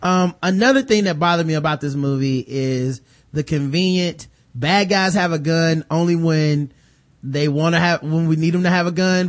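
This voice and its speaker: An American man hosting a casual, profane film discussion, animatedly retelling scenes and acting out mock dialogue. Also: fairly high pitch. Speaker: movie review podcast host.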